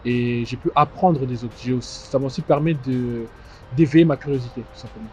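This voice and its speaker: A man in his twenties who grew up in Strasbourg, giving conversational interview answers with frequent mid-phrase pauses. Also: medium pitch, mellow. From born in DR Congo, grew up in Strasbourg, France. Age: 20s. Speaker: interview guest, fashion house founder. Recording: dull muffled sound with music behind. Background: music.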